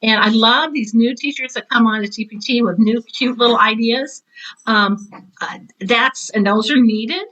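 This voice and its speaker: A woman in her sixties, a retired teacher, chatting casually as an interview guest in an opinionated, animated way. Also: on the high side, normal speed, dull audio.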